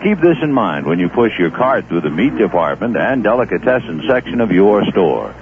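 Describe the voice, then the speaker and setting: A man reading a 1970s radio commercial as a conversational sales pitch. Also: big pitch swings, normal speed, phone-quality sound. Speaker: commercial announcer. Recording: thin, slightly noisy old radio recording.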